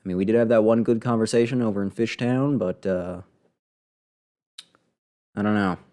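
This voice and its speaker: A man's voice: on the low side, fairly even intonation, moderate pace, dark tone. A man giving casual live gameplay commentary as a streamer, in a wry, rambling way. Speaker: game streamer.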